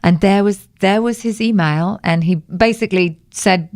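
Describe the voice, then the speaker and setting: A woman's voice: medium pitch, normal speed, darkish tone, dry recording, clean studio sound. Woman singer chatting in a radio interview. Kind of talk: radio interview, conversational storytelling. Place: radio studio.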